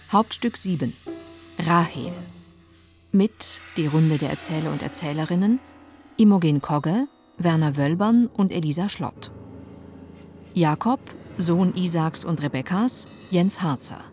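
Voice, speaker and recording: Formal, lowish female radio announcer. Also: darkish tone. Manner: clear, neutral, formal. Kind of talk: scripted radio drama credits. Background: faint music.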